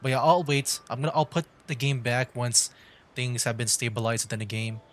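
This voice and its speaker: A man's voice: medium pitch, fairly quick, soft background music. A man giving casual, rambling commentary on a game livestream, often repeating himself as he chats. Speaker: livestreaming VTuber gamer.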